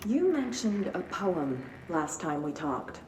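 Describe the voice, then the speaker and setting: A woman playing a calm, detached psychiatrist in a game, asking measured, probing questions. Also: on the low side. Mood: composed, probing. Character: calm, clinical female psychiatrist questioning a patient. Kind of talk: video game cutscene acting. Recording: roomy, distant, noisy.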